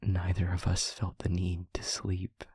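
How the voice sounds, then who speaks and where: A low-voiced, weary male demon, acted by a man in an intimate audio roleplay. He confides in a breathy half-whisper, slowly and haltingly, with earnest care. The sound is close and dry. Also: monotone, darkish tone, dry recording, heavily acted. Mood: tender, careful. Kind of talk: scripted audio roleplay, intimate monologue to listener. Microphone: close microphone, dry.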